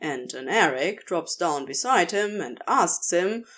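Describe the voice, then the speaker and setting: A woman reading a story aloud as narrator, in an expressive scripted style. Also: fairly low pitch, a little slow. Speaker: narrator, amateur audio fiction reader.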